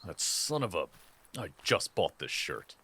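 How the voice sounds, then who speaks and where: A man playing a gruff, devoted werewolf guard in an audio drama, speaking in a laconic way. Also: sits low, very animated, a little slow, bright, forceful tone, full character voice. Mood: annoyed. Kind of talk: audio roleplay acting.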